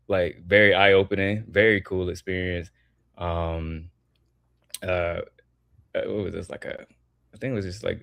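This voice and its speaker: A man with a very low voice speaks as a guest in a casual interview. He sounds easygoing and sincere, with loose, rambling phrasing. The recording is dry, with no room sound. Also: no room sound. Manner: relaxed, earnest, loose phrasing with "like" and "um". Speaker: guest, artist and former wrestler.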